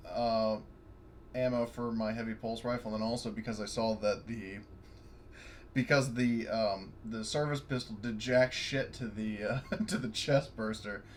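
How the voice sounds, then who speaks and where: A man's voice: fairly low pitch, fairly even intonation, normal speed, a little hiss or hum. A man playing a tabletop game, rambling with lots of fillers and restarts. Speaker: player. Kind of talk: casual tabletop game chat.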